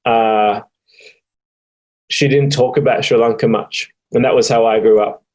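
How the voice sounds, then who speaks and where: A young man with an Australian accent, speaking slowly and reflectively with many pauses, like an interviewee telling his family's story. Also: medium pitch, steady tone, darkish tone. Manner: slow and thoughtful, with frequent pauses and fillers. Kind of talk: reflective personal testimony.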